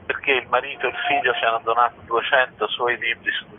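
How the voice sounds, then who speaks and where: A man's voice: mid-range voice, flat delivery, quick pace, a little hiss or hum. A man being interviewed on radio, presenting information as an institution's representative over the telephone. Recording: muffled tinny phone line on radio.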